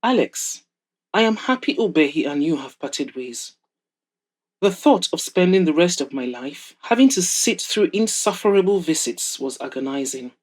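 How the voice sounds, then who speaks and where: A woman's voice: low-pitched, expressive, moderate pace, a little mellow, breathy edge. A woman voicing a proud, wry, wealthy older mother of a grown son in an audio drama, teasing and frank as she advises. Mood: relieved, blunt.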